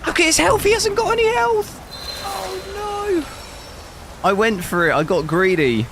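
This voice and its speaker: A man streaming a video game live, talking casually through the settings, with a hoarse voice he says he is losing. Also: very high voice, big pitch swings, measured pace. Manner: thinks aloud casually, bursts into loud dismayed cries. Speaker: gaming streamer. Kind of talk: live gameplay commentary. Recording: noticeable background noise.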